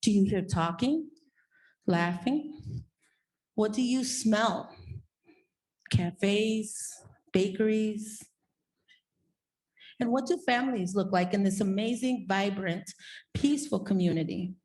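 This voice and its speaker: Slow, mellow-voiced woman guiding imagery. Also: mid-range voice, poor audio. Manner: steady, questioning, unhurried. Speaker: contemplation leader at a summit. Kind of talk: guided imagery prompts.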